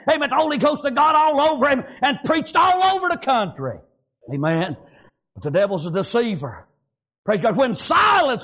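A man's voice: extremely high pitch, a bit bright. A man with a Southern American accent preaching a fervent revivalist sermon, folksy and repetitive, punctuating his phrases with exclamations of praise.

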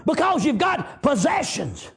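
A man with a Southern US accent preaching a fervent revivalist sermon, exhorting loudly and peppering it with praise interjections. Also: extremely high pitch, huge pitch swings, unhurried, vocal fry.

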